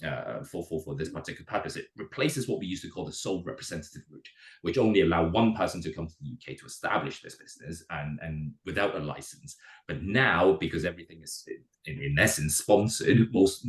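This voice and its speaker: Fast-talking hoarse man, very deep. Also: roomy sound, poor audio. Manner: fast, with many repeated words and restarts. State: hoarse from a cold. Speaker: immigration adviser. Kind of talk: webinar presentation.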